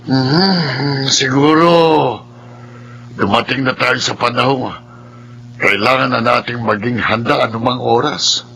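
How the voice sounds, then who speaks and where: A man's voice: medium pitch, slow, sharp tone, a little hiss or hum. An actor with a Filipino accent playing a matter-of-fact man of sixty, resigned to aging, in a radio drama. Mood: grave, resigned.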